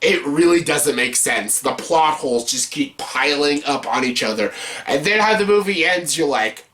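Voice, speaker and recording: A man's voice: fairly high pitch, lively intonation, moderate pace, a bit bright. A man hosting an opinionated, unscripted countdown review, speaking bluntly and emphatically in an exasperated way, with casual swearing and words repeated for stress. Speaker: host of a movie countdown video.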